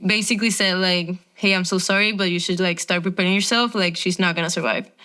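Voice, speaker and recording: Young venezuelan-born woman, smooth clear voice, rambly chat full of "like". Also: on the low side, steady tone, fast, pushed and bright. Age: 20s. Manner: chatty, many "like" fillers. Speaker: guest, pro tennis player. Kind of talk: casual interview chat.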